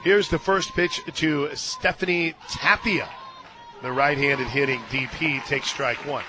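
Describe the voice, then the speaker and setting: Male American sports radio announcer, slightly high voice, chatty and emphatic, music behind him in a stadium booth. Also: unhurried, sharp tone, a faint crowd, rough recording. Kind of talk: live sports commentary with chatty asides.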